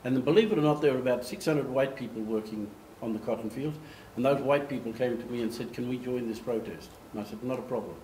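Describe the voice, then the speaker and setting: An older man tells stories in lively fashion from a lectern, recorded by a camera across an echoey meeting room. His voice sits at an average pitch. A faint room ambience hangs behind him. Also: quick pace, hardly any pauses, slight background noise. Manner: animated, gestures, acts out quoted voices. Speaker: speaker giving a slide talk. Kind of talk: storytelling presentation. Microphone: far camera.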